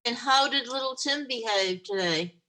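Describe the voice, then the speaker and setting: A woman playing a loving but sharp-tongued Victorian mother, emphatic and tart, in a radio play over a video call. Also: a little high, normal speed, poor audio. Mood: plain.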